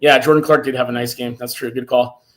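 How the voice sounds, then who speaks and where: A man hosting a casual fan live stream, excited and a little hoarse from cheering, reading out viewer comments. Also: medium pitch, quick pace. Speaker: fan show host.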